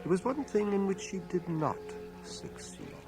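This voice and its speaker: An actor playing a reflective, wry older bookish man narrating his life in a radio drama, in a measured literary manner. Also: high-pitched, lively intonation. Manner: literary, measured, dryly ironic. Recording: 1980 radio drama home cassette transfer, music behind.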